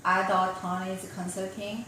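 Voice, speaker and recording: A woman attorney whose first language is Korean, answering interview questions in slow, careful, somewhat formal English. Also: medium pitch, level tone. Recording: roomy, distant mic with faint noise.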